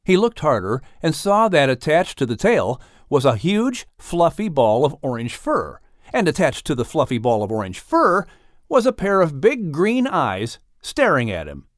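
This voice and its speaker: A man narrating a children's audiobook in an expressive, dramatic and carefully phrased storytelling style. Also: a little high, moderate pace, no echo, clean studio sound.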